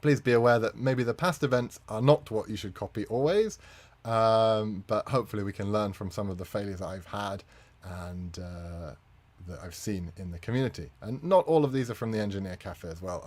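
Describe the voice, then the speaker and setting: A man's voice: fairly low pitch, fairly quick, darkish tone. A man, a native English speaker, presenting a conference talk as a community manager, friendly with frequent fillers.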